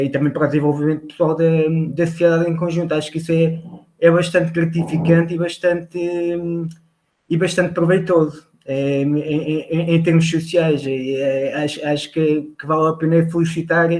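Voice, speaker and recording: A man's voice: a little high, a little mellow. A man with a Lisbon Portuguese accent making a polite, formal comment as an audience member, hesitantly searching for words and repeating them.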